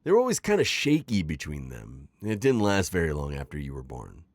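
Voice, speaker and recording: A man's voice: rumbling low, huge pitch swings, a little fast, no room sound, heavily acted. A man playing a sly, teasing, middle-aged retired magical villain in an ASMR roleplay, theatrical and coaxing in his delivery. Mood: wistful, sly. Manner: theatrical, teasing, manipulative coaxing with sighs and chuckles. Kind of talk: scripted ASMR roleplay acting.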